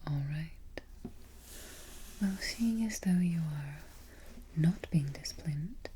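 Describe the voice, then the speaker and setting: A woman playing a haughty evil queen in a soft-spoken ASMR roleplay, breathy and whispery, giving petty orders with imperious, mock-sweet deliberation. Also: low voice, slow pace, exaggerated voice. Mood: coolly menacing. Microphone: close ASMR microphone.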